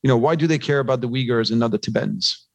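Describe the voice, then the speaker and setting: A man's voice: medium pitch, racing, gravelly, no room sound. A man giving conversational financial commentary as an interview guest, talking discursively and posing rhetorical questions. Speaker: podcast guest, investment research analyst.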